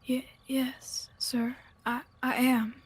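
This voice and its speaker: A woman playing a timid but insistent stranger begging in a radio play, with halting, stammering speech. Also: high voice, level tone, husky, exaggerated voice. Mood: timid, pleading. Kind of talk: radio theatre acting.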